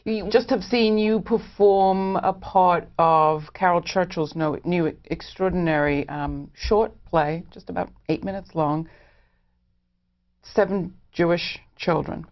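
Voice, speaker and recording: Lowish-voiced woman interviewer with creaky edge. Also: steady tone, takes it slowly, dull audio. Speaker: interview host. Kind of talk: conversational interview questioning.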